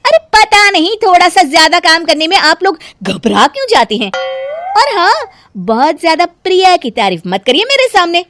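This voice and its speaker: A woman's voice: extremely high pitch, big pitch swings, moderate pace, fairly bright tone, slight background noise, voice pushed up, exaggerated voice. A woman voicing a lazy, defiant, self-important young wife in a cartoon family story, whiny and indignant in an exaggerated way. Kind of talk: animated Hindi family story dramatization.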